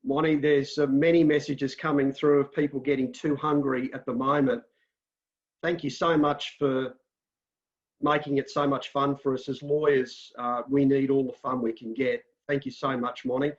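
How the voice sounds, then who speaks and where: A man's voice: mid-range voice, little pitch movement. A man hosting an online event over a video call who speaks politely and courteously, with small hesitations. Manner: polite, courteous, slightly halting. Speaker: event host. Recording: dull lo-fi sound.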